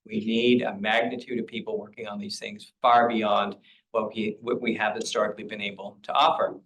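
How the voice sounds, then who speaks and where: A man's voice: fairly low pitch, little pitch movement, a little fast, a bit bright, rough recording. A man, a professor on a panel, speaking in an earnest, emphatic lecturing manner. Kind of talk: panel talk.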